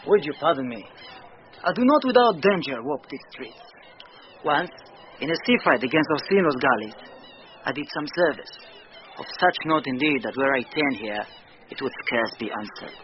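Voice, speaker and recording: An actor playing a devoted, anxious sea captain, speaking verse earnestly in classic radio Shakespeare style. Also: male voice, a little high, expressive, natural voice. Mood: guarded, generous. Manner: earnest, measured verse delivery. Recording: dull, faintly noisy old radio broadcast.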